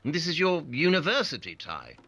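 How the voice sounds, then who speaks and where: An actor playing a haughty, probing detective in an audio play, with precise, pedantic delivery. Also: male voice, a little high, wildly swooping pitch, moderate pace, pushed and bright, exaggerated voice. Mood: probing, piqued. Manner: precise, clipped, pedantic interrogation.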